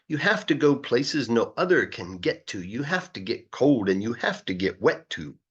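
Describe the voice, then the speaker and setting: A man voicing an eager, boastful young boy in a rhyming children's storybook, with an excited sing-song delivery. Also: mid-range voice, husky, lo-fi sound, full character voice.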